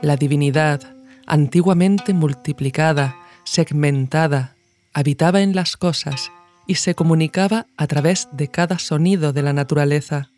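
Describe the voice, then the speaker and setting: A woman narrates a scripted essay as podcast host in a measured, literary way. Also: low-pitched, darkish tone.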